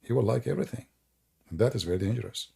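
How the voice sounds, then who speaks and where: A man's voice: lowish voice, rapid-fire, gravelly, mellow, slightly rough. A man with an Arabic accent, a native Arabic speaker, hosting a live stream in a sarcastic, teasing manner. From Middle East. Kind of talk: mocking commentary on a live stream.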